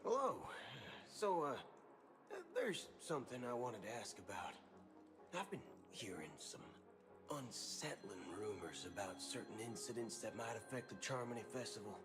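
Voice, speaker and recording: A theatrical, swaggering cowboy outlaw over loud music. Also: male voice, medium pitch, big pitch swings, moderate pace. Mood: feigned worry. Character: swaggering, wary male space cowboy outlaw with quirky curses. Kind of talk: video game voice acting. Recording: loud game music under voice.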